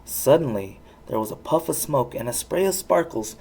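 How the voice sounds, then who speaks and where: A parent reading a children's storybook aloud with expressive narration. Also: medium pitch, moderate pace, vocal fry, husky.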